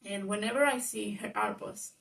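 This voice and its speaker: A woman with a Spanish-speaking accent, a native Spanish speaker, telling a personal story in a rambling monologue full of fillers. She describes her own voice as loud. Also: medium pitch, normal speed, vocal fry, recorded from a distance, slight background noise, rough recording. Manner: rambling, lots of fillers, grows loud when reenacting arguments. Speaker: vlogger.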